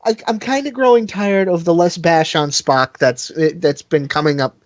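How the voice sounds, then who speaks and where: A podcast co-host in a casual, animated and argumentative fan discussion. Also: medium pitch, moderate pace, dry recording, dull audio.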